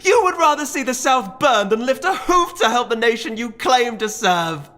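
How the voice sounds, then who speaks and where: A man voicing a fiery, defiant mare duchess in a storybook reading, dramatic, loud and urgent. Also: very high voice, very animated, normal speed, soft background music, pitched above the performer's own voice, heavily acted. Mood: furious.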